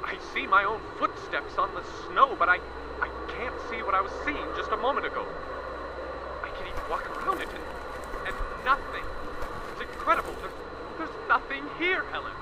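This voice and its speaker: A man's voice: sits high, very animated, normal speed. An actor playing a seasoned male war correspondent reporting live on a line, stammering in awe, in a radio drama with a noisy recording. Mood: awed. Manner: stammering, awed and breathless. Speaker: field reporter.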